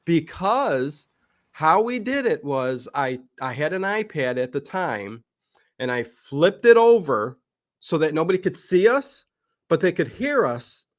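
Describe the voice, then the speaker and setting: Male streamer, higher-pitched voice, conversational storyteller, animated delivery, dry acoustic. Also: unhurried, dry recording. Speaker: livestream channel host. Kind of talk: casual livestream storytelling.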